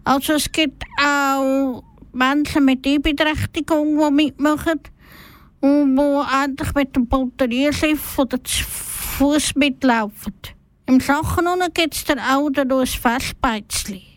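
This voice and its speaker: High-voiced Swiss German woman presenter. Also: fairly even intonation, takes it slowly, no room sound. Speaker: radio presenter. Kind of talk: personal radio report, simply told.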